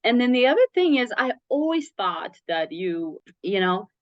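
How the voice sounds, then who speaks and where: A woman's voice: fairly high pitch, sing-song, normal speed, dry recording. A woman hosting a casual interview, responding in an appreciative, reflective way, recorded in slightly dull, lo-fi audio. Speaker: interview host.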